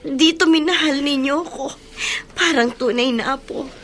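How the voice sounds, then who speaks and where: An actress playing a devoted, affectionate young wife in a Filipino radio drama, expressive and homely in manner. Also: female voice, high voice, normal speed, slight background noise. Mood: tearful gratitude.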